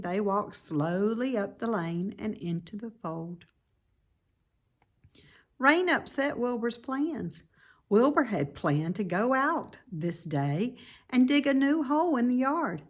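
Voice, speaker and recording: A woman reading a children's story aloud as narrator, with deliberate, dramatic emphasis. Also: mid-range voice. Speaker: storybook reader.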